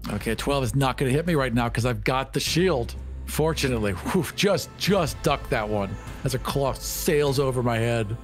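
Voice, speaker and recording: A man with a mid-pitched voice plays a tabletop role-playing game. He talks casually, describing his character's moves, with faint music behind him. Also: lively intonation, moderate pace. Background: music under the voice.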